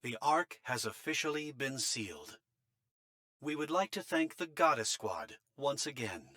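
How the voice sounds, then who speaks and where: An actor voicing a cold, smug official in a game cutscene, speaking formally and with condescension. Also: male voice, medium pitch, sing-song, unhurried, sharp tone. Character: cold, smug male official behind a sealed shelter. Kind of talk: scripted game cutscene acting. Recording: music behind the voice. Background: background music.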